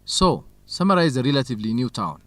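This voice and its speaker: A man's voice: medium pitch, expressive, fast, a bit bright, dry recording, lightly acted. A man playing a helpful fellow researcher in a radio drama for English learners. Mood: agreeable. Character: Khalid, helpful male colleague and fellow researcher.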